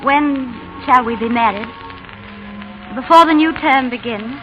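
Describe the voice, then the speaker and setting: A high-voiced young Englishwoman in love, earnest, tender and a little teasing. The sound is a muffled 1930s radio play with faint music underneath. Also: measured pace. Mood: eager, loving. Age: young adult. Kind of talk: 1930s radio drama acting.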